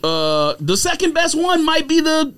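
Male podcast co-host with a notably high voice, blunt, contrarian, quick to dismiss in loose conversational banter. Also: sing-song, fairly bright tone. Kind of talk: casual sneaker debate.

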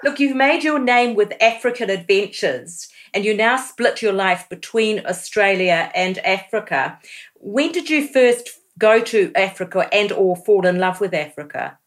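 A woman hosting a book podcast, reading an intro and asking interview questions in a friendly, clear way. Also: mid-range voice, lively intonation, a little slow. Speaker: podcast host.

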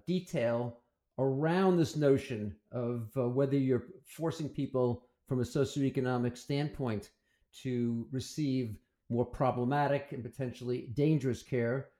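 A man hosting an interview, asking long, measured questions with occasional ums. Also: mid-range voice, warm tone. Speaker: interviewer host. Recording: roomy echo.

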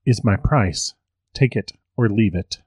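A man's voice: on the low side, unhurried, darkish tone, slightly rough, dry recording. A man voicing a spiteful old male witch who growls and taunts smugly. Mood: bargaining.

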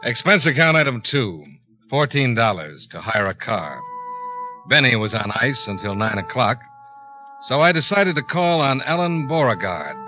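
A man's voice: lowish voice, poor audio. A man playing a cool, laconic insurance investigator in a 1950s radio detective show, dry and knowing. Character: wry, cool, tough male insurance investigator. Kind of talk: 1950s radio detective acting and first-person narration.

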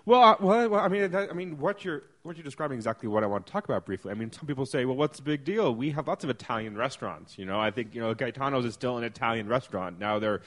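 A man's voice: mid-range voice, lively intonation, quick pace, hardly any pauses, fairly bright tone. A man hosting a casual podcast, joking and rambling with lots of fillers. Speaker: podcast host.

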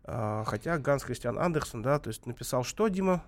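A man hosting live radio from a studio, fighting off a cold. His voice sits in an ordinary middle register. He hesitates often and fills the gaps with drawn-out filler sounds. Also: medium pitch, animated. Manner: halting, many filler sounds. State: has a cold. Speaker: radio host.

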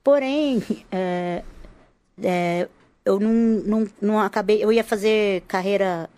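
A woman's voice: medium pitch, takes it slowly, dark tone. A woman from Rio de Janeiro, a podcast guest, talking casually with many restarts and laughs. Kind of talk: casual chat.

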